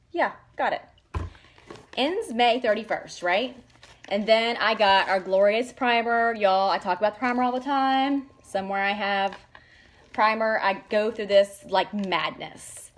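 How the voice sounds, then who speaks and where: A woman's voice: mid-range voice, a little hiss or hum. A woman, chatting casually and gushing as she shows makeup products to the camera. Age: 40s. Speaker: makeup seller showing products. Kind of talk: casual product demo vlog.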